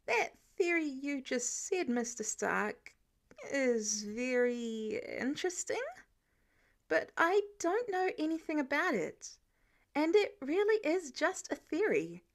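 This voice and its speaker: A woman's voice: sits high, very animated, takes it slowly, pitched above the performer's own voice, exaggerated voice. A woman voicing a polite, nervous young man who feigns innocence and hesitates as he talks, in an audiobook. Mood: careful, evasive. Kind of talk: audiobook character dialogue.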